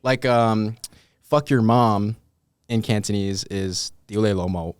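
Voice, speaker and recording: A man from Hong Kong, a music producer guesting on a podcast, talks easily and says "bro" a lot. His voice is fairly low. The close mic leaves the sound dry. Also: slow pace, clean tone, dry recording. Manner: easygoing, says "bro" often, laughs easily. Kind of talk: casual podcast chat.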